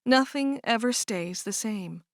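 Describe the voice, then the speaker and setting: A woman reading aloud and voicing a kind, calm older sister who speaks gently and reassuringly. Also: fairly high pitch, unhurried, no echo, clean studio sound. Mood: soothing.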